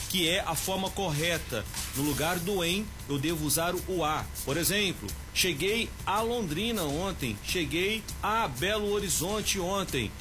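A Brazilian man teaching Portuguese on the radio, addressing the listener directly and slowing down to stress his examples. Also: fairly high pitch. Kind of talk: radio grammar tip segment. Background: music bed.

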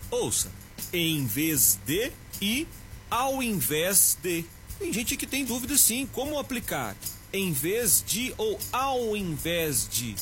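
A man with a Minas Gerais accent giving a Portuguese tip on the radio, slowing down to stress each example. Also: sits high, a bit bright. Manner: didactic, slows down to stress examples. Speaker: Portuguese teacher.

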